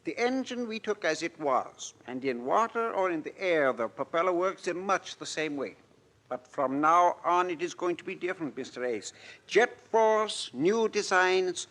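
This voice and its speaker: A villainous foreign doctor of aviation in a vintage radio drama. His voice sits high for a man. He lectures in formal, measured, self-important phrases. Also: expressive, a bit bright. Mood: pompous, lecturing. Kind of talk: old-time radio drama acting.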